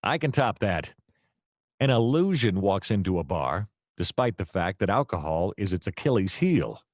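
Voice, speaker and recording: An actor playing a wry, deadpan private detective who narrates a noir comedy radio play in wordy style. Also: male voice, deep voice, moderate pace, dry recording, studio quality. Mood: jokey, animated. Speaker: narrator and lead character.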